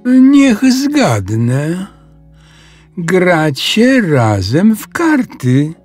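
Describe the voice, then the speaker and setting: An indignant little girl in a children's audio story, as a male narrator puts her on. The voice is husky and swings up and down with stern, exasperated emphasis, over faint music. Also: high voice, wildly swooping pitch, extremely slow, darkish tone, no room sound, voice pushed up, full character voice. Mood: suspicious, stern. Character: indignant, upset little girl, scolding and expressive.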